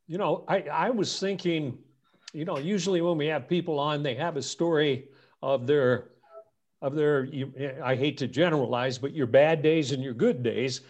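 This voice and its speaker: A man's voice: fairly high pitch, moderate pace, a little mellow, lo-fi sound. A man hosting a podcast, talking in a friendly, conversational way and often repeating words as he goes. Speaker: podcast host. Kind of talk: casual interview chat.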